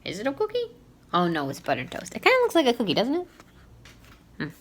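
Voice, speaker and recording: A woman's voice: on the high side, huge pitch swings, fast talker, a little hiss or hum. A woman reading a storybook aloud to young children in a teacherly way, putting questions to her listeners.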